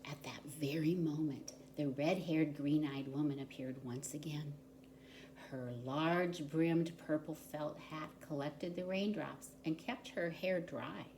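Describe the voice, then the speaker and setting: Female storybook reader for preschoolers: low register, very unhurried, expressive delivery, slight background noise. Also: poor audio. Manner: expressive, deliberate storyteller for young children. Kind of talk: children's picture book read-aloud.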